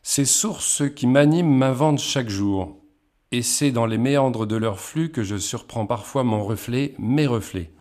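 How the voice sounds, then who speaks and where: A man interviewing a writer. He reads a poetic introduction in a measured way, then asks his question. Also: mid-range voice, darkish tone. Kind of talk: reads a literary introduction, then brief acknowledgments.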